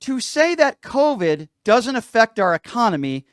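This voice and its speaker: A man's voice: very high-pitched, very animated, measured pace, no room sound, studio quality. A man hosting a live call-in show, debating politics in an argumentative, insistent way and repeating phrases for emphasis.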